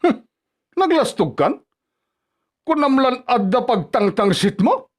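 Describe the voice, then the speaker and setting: An actor with an Ilocano accent playing a bullying, scornful middle-aged father-in-law in a radio drama, mocking and quick to anger. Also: very high-pitched, big pitch swings, full character voice. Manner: mocking, haranguing. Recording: background music under radio drama.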